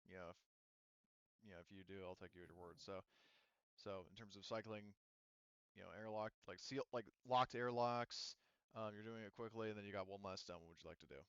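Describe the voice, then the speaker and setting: A man talking casually as game master at a tabletop game, in a rambling, halting way full of filler phrases. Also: lowish voice, fairly bright tone, clear, resonant tone, no echo, highs cut off.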